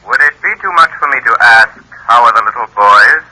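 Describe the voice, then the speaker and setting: A man's voice: mid-range voice, expressive, brisk, pushed and bright, a little hiss or hum, low-quality recording. A man playing an unseen crime fighter in an old-time radio drama, suave, taunting and unflappable. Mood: mocking calm. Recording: vintage radio drama recording.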